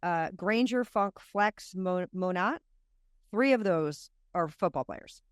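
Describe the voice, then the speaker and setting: An enthusiastic woman co-hosting a podcast, chatting casually and reeling off lists. Her voice is smooth and clear. It comes across dry and close to the mic. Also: on the low side, animated, dry recording. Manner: enthusiastic, lists things off in quick runs. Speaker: podcast co-host. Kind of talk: casual chat.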